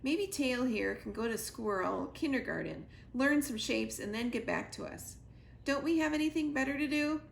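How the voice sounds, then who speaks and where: A woman's voice: sits high, animated, normal speed, creaky, echoey room, a little hiss or hum, pitched above the performer's own voice. A woman voicing a bossy, sarcastic young female chick in a storybook reading. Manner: brash, sarcastic.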